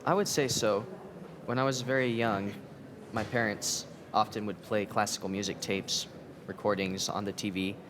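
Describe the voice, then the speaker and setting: Measured young American man. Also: lowish voice. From United States. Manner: articulate, measured. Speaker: pianist guest. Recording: steady background noise.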